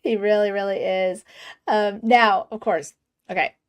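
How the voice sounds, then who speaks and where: A woman's voice: mid-range voice, fairly even intonation, unhurried. A woman hosting a fan podcast, chatting eagerly with her guest and laughing often. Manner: bubbly, laughs often, enthusiastic. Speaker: podcast host. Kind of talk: casual fan interview.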